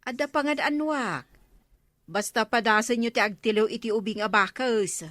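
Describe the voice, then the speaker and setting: A woman's voice: fairly high pitch, moderate pace, a bit bright, no echo, lightly acted. A woman playing a matter-of-fact village official with an Ilocano accent in a radio comedy drama. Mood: explaining.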